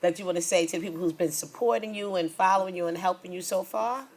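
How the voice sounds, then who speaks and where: A woman's voice: lowish voice, quick pace, rough recording. A woman hosting a local interview show and speaking to viewers. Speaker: TV show host.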